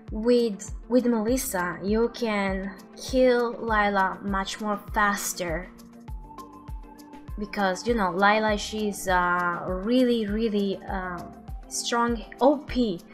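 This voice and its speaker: Slow, halting young woman reacting casually. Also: medium pitch. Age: 20s. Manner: hesitant, halting phrasing. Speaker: streamer reacting to game videos. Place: small room at home.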